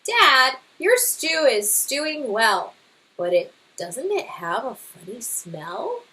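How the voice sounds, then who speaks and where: Woman doing a young bear scout, high-pitched, eager, earnest, emphatic, storybook character. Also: big pitch swings, takes it slowly, pushed and bright, heavily acted, low-quality recording. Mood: doubtful. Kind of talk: storybook read-aloud.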